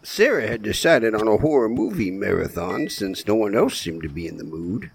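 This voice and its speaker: A man's voice: fairly low pitch, big pitch swings, normal speed, gravelly. A man acting a small-town gravedigger who narrates eerie tales in a folksy audio play style, over background noise. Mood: wry, ominous. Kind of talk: folksy scripted story narration.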